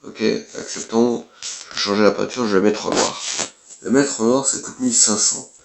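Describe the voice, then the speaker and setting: A man's voice: medium pitch, steady tone, normal speed, gravelly, background noise. A man, who has a cold, giving casual, blunt let's play commentary as a gaming YouTuber and swearing offhandedly.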